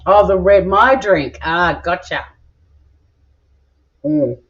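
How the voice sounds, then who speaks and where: A woman's voice: lowish voice, slow pace. A middle-aged Australian woman hosting a cooking stream from her home kitchen, chatting with viewers in a playful way and swearing now and then. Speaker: cooking vlog host. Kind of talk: casual chat, live cooking stream reading viewer comments. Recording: dull lo-fi home recording.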